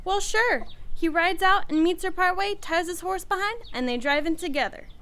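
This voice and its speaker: A woman's voice: very high voice, moderate pace, sharp tone. A woman acting a folksy, conversational frontier townswoman in an old-time radio Western. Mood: chatty, knowing.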